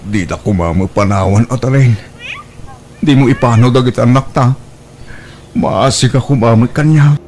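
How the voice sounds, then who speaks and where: A man's voice: mid-range voice, moderate pace, pauses often, a little mellow, slight background noise, natural voice. An actor with an Ilocano Filipino accent playing a guilty, emotional husband begging his family in a radio drama. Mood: desperate. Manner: emotional, pleading.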